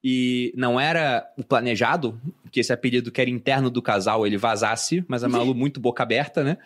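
A Brazilian man co-hosting a podcast, explaining things and telling stories in casual chat, getting animated as he goes. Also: medium pitch, brisk. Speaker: podcast co-host.

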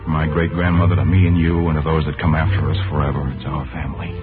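An actor playing an ardent, well-to-do young suitor, smooth and earnest, in 1940s radio drama style. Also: male voice, extremely low pitch, flat delivery, fast. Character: suave, ardent wealthy young suitor, tenderly devoted. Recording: tinny narrow-band 1940s radio, music behind.

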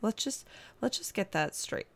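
A woman's voice: medium pitch, animated, a little slow, gravelly. A young woman hosting her own podcast from a house, chatting casually and rambling with lots of "like", sounding stuffy-nosed with a sore throat. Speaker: podcast host. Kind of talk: casual solo podcast chat. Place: boyfriend's house, indoors.

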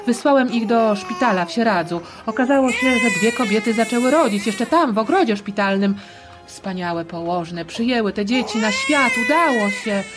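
A woman voicing an elderly male doctor, shaken and dutiful, giving a measured eyewitness account in an audio drama interview. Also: fairly high pitch, expressive, music in the background, close to an everyday voice. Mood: moved, shaken.

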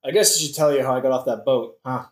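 A woman's voice: rumbling low, quick pace, a little mellow. A woman playing a sarcastic, melodramatic young prince in a modern comedic audio play, with theatrical delivery. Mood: smug. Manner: theatrical, sardonic. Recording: roomy echo.

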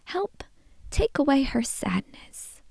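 A woman reading a young girl's prayer letter in a soft whisper. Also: high-pitched, big pitch swings, slow pace, vocal fry, no echo, voice pushed up, full character voice. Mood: earnest.

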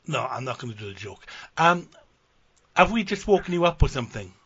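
A man with a Welsh accent making a prank phone call, deadpan and mock-earnest about absurd plans. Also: mid-range voice, fairly quick, slightly rough. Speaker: prank caller. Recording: dull lo-fi audio.

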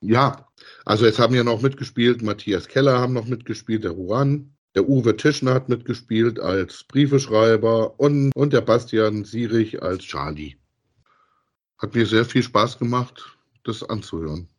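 A middle-aged man co-hosting a casual review podcast, speaking in a halting, hesitant way. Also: mid-range voice. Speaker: podcast co-host. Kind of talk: casual review chat about audio dramas.

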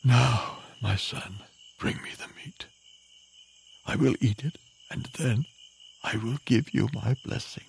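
Frail, creaky, very slow old patriarch. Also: male voice, medium pitch, a little hiss or hum. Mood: tender, weary. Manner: slow, halting, solemn delivery. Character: frail, aged biblical patriarch father, solemn and trembling. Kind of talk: dramatized audio Bible acting.

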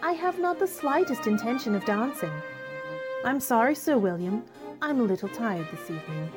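Woman as young gentlewoman, proud, cool, radio drama, animated intonation, formal phrasing, music behind. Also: a little high, moderate pace, natural voice. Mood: cool disdain. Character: proud, witty young gentlewoman, coolly polite. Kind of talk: old-fashioned radio drama acting.

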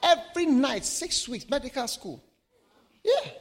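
A man from Africa preaching a sermon, swinging between shouted lines and low, quiet asides. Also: very animated, a little slow. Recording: live sermon with long hall reverb.

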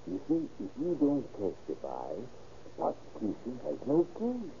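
A man's voice: mid-range voice, huge pitch swings, a little slow, vocal fry, mellow, heavily acted. An actor playing a scheming, formal and insistent male defense attorney in an old-time radio crime drama. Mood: urgent, persuasive. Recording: tinny, noisy old radio recording.

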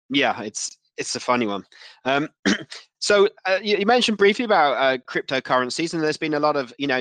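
Casual guy interviewer, average pitch, stop-start and repeats words, really dry audio. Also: fairly bright tone, no room sound. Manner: halting, often repeats words. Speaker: interviewer, podcast host.

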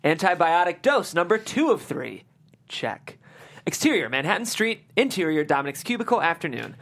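A woman's voice: lowish voice, very animated, moderate pace. A woman reading comedy screenplay stage directions as scripted narration, calling out captions like an announcer. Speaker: stage directions reader at a table read.

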